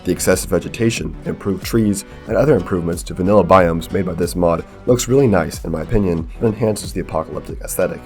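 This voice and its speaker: A man narrating a scripted gaming tutorial in a measured, explanatory way, as a video creator. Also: low voice. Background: background music.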